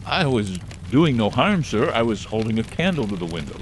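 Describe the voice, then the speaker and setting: A man's voice: mid-range voice, big pitch swings, moderate pace, a little hiss or hum, close to an everyday voice. A man voicing a frightened, secretive middle-aged butler who speaks in a deferential, pleading way. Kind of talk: audio drama acting.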